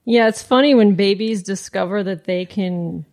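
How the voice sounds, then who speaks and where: A woman's voice: mid-range voice, normal speed, darkish tone. A woman co-hosting a podcast, reacting expressively to voicemails in casual chat and swearing now and then. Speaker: podcast host.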